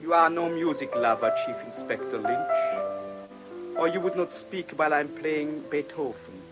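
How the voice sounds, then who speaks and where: An actor playing a proud, controlled middle-aged cafe pianist in a radio play, speaking in a measured, haughty way. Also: male voice, fairly high pitch, over loud music, natural voice, low-quality recording. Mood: haughty, cool. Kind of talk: radio drama acting.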